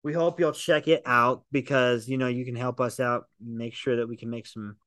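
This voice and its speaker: A man's voice: medium pitch, fairly even intonation, moderate pace, fairly bright tone, no echo, studio quality. A man hosting a film podcast in casual chat, enthusiastic and profane, rambling with restarts. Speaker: podcast host, film reviewer.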